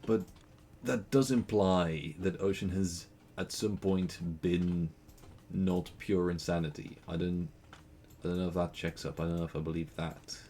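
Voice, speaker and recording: A man's voice: deep voice, steady tone, unhurried, warm tone, a little hiss or hum. A young man from the Netherlands with a Dutch accent, chatting playfully and rambling while streaming a game, laughing often. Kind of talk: casual gaming stream chat.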